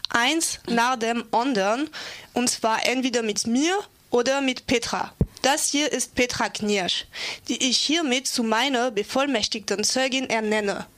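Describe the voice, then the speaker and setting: A woman's voice: on the high side, unhurried, a bit bright. A woman acting an assertive activist in an amateur radio play, speaking firmly and curtly with defiance. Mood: firm, defiant. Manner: firm, curt, defiant. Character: Anna, assertive young activist woman facing a police search. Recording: noisy amateur recording with clicks.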